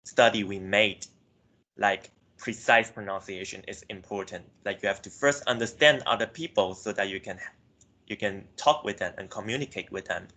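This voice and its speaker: A young man with a fairly low voice presents research findings to a class. He speaks hesitantly, leaning on filler words and often correcting himself mid-sentence. He grew up in Myanmar. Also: normal speed, pushed and bright. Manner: hesitant, frequent "like" and self-corrections. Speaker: student presenter.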